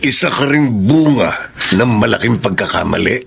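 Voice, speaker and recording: A man's voice: on the low side, animated, normal speed, gravelly, fairly bright tone, a little hiss or hum, full character voice. An earnest, remorseful Filipino father. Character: remorseful older father confessing an old affair to his daughter. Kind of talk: Filipino radio drama acting.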